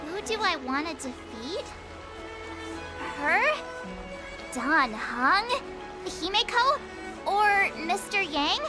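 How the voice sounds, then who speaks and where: Super high bubbly teen girl, eager n dramatic, voice bounces everywhere, game music under it. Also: slow pace, pauses often, full character voice. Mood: curious, musing. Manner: excitable, earnest, dramatic. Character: bubbly, eager teenage girl archer turned sword apprentice. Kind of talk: anime-style game voice acting. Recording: music behind the voice.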